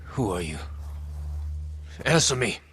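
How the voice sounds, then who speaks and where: An actor playing a grim, driven young samurai in a video game, speaking in a terse, resolute way. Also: male voice, on the low side, sing-song, takes it slowly, a bit bright, a little hiss or hum, exaggerated voice. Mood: demanding, wary.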